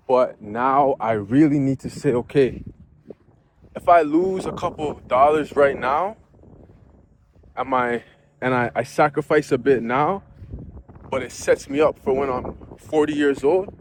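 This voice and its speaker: Man, thirties, earnest, conversational, mid-pitched voice, faint outdoor noise. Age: 30s. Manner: earnest, conversational, frequent "you know". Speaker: vlogger. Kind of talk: reflective casual monologue. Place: outdoors near geese.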